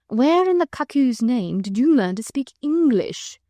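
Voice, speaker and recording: Lazy dandy gentleman, slow drawl, dry close sound, read by a woman. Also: mid-range voice, big pitch swings, no echo. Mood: languid. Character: languid foppish English baronet, man, drawling.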